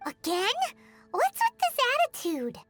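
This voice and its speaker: A woman voicing a small, chirpy, well-meaning childlike fairy companion in a video game, with a squeaky voice. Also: huge pitch swings, unhurried, a bit bright, soft background music, exaggerated voice. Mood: surprised. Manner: speaks of self in third person.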